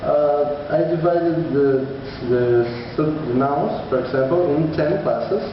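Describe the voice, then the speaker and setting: A man with a fairly high voice talks through a casual vlog in English, his first language being Portuguese. He hesitates, restarts his phrases and laughs at himself. The sound is far from the mic in a big, strongly echoing hall, with long reverb. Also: measured pace. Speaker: vlogger. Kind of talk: casual study-update vlog. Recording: far-off echoey hall, dull lo-fi with noise.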